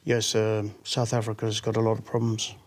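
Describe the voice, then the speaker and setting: A man's voice: fairly low pitch, monotone, moderate pace, breathy edge. A middle-aged British man answering interview questions bluntly, in short firm replies. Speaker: interviewee, former mayoral candidate. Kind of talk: casual interview.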